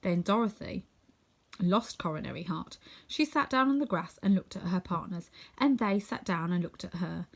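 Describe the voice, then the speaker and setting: A woman narrating a children's storybook in an expressive, scripted reading. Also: fairly low pitch, normal speed, gravelly. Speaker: storybook reader.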